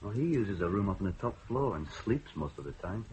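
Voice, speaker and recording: An actor playing a worried, indebted bank clerk in a radio drama, talking anxiously and hesitantly. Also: male voice, lowish voice, animated, brisk, a little mellow, breathy edge. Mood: explaining. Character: nervous bank clerk in debt, worried man. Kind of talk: 1970s radio drama acting. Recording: muffled old radio broadcast.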